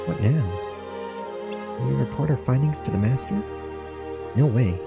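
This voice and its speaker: A man's voice: mid-range voice, expressive, normal speed, creaky, highs cut off, pitched above the performer's own voice. A man reading out game cutscene dialogue, voicing earnest young student warriors in a fantasy story. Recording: music under the voice.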